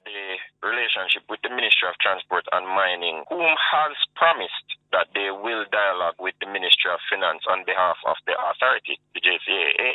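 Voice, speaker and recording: Tinny telephone audio, dry, low-voiced Jamaican man, formal official statement, deliberate wording. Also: dry recording. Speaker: air traffic controllers' association president. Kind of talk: formal spoken statement to press. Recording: band-limited tinny phone line.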